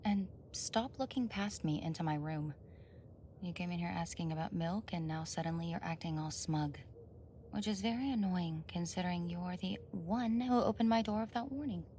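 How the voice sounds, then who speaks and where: A woman's voice: lowish voice, normal speed, mellow, a little hiss or hum, close to an everyday voice. A woman acting a flustered, defensive young roommate caught off guard in an ASMR roleplay, stammering, indignant and evasive. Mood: nervous, evasive. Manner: stammering, indignant, evasive, sighs and nervous laughs.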